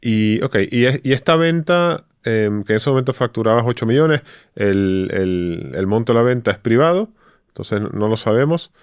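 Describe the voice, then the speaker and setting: A man interviews in a conversational podcast style, pressing with direct follow-up questions. His voice is clean and resonant at a medium pitch. The sound is dry, with very little room around it. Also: animated, moderate pace, clear, resonant tone, no echo.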